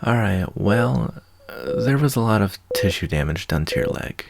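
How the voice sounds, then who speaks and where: A man playing a caring, folksy wasteland doctor in an ASMR roleplay, talking in an unhurried, reassuring, slightly hesitant way. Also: fairly low pitch, expressive, gravelly, background noise. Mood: casual, breaking news. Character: laid-back, fatherly wasteland doctor, gruff but caring adult man. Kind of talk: ASMR roleplay, softly acted monologue.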